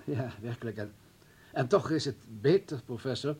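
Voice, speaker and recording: A clinic's political administrator in a radio drama. His husky, mid-pitched voice is placating and evasive, with hesitant, careful phrasing. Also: normal speed, a little mellow. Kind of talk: Dutch radio play acting.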